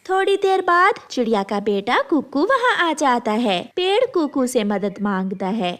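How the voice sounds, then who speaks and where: A woman's voice: high voice, sing-song, unhurried, a bit bright. A woman reading scripted narration for a children's cartoon story.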